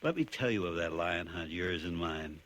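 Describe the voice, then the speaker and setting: Skeptical writer on safari, lowish pitch, measured wry phrasing, radio drama narration, faint recording noise. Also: male voice, expressive, a bit bright. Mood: wry storytelling. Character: skeptical, weary male playwright narrating his safari.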